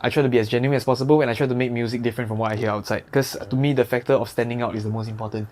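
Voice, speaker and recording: A man's voice: mid-range voice, steady tone, crowd noise. A young Singaporean man with a Singaporean English accent, a rapper chatting casually as a guest in a podcast studio. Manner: quick, chatty, drops in Singlish particles.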